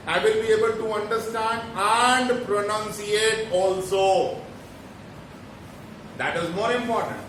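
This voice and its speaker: Male teacher with an Indian English accent, high-pitched voice, recorded from a distance with audible background noise. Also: a bit bright. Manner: explains terms step by step, repeats for emphasis. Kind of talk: classroom lecture. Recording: echoey room, mic at a distance, noisy.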